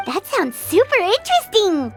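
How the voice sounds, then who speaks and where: Very high, squeaky little fairy companion. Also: female voice, huge pitch swings, moderate pace, heavily acted. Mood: curious, eager. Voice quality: squeaky, childlike. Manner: refers to self in third person, exclamatory. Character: small excitable childlike floating fairy companion. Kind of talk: video game voice acting. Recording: loud music behind the voice.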